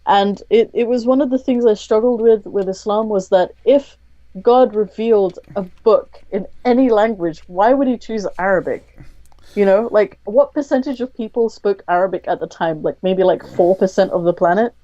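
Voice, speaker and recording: A woman guest on a podcast interview, speaking candidly in a thoughtful, measured way with frequent pauses to think. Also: medium pitch, mellow, no echo, lo-fi sound.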